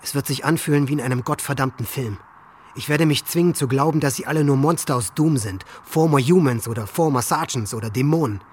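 A man's voice: medium pitch, brisk, a little mellow, slight background noise, lightly acted. A man playing a disturbed, hate-filled teenage school shooter in a German audio drama monologue. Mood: grim, hateful.

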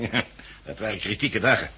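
A man's voice: on the low side, normal speed, sharp tone, breathy edge, exaggerated voice. A man acting a brazen, faintly menacing armed official with glib formal manners in a 1960s radio drama. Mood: glib, unrepentant.

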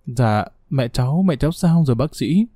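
A man's voice: medium pitch, animated, normal speed, dark tone, dry recording, clean studio sound, lightly acted. A man voicing a frantic young pregnant woman begging for her dying mother, pleading and choked with tears. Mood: anxious.

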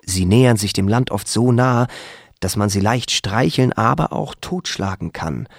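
A man reading a scripted literary narration deliberately, in short separated phrases. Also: lowish voice, lively intonation, vocal fry, dry recording, clean studio sound.

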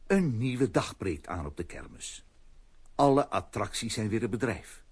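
A man's voice: lowish voice, animated, measured pace, a little mellow, husky. A man narrating a scripted children's radio play as storyteller.

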